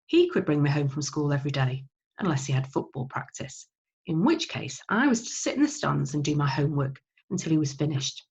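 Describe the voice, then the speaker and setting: A woman with a fairly low voice narrates a children's novel. She reads expressively, like a teacher reading aloud to pupils. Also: animated, a little fast, a little mellow.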